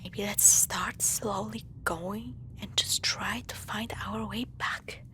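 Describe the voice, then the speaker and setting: A woman playing a frightened, protective girlfriend in a horror roleplay, speaking in a hushed, hesitant, breathy whisper. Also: mid-range voice, fairly even intonation, takes it slowly. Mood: hushed, nervous fear. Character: frightened, protective girlfriend lost in a haunted hospital. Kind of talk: roleplay horror audio drama.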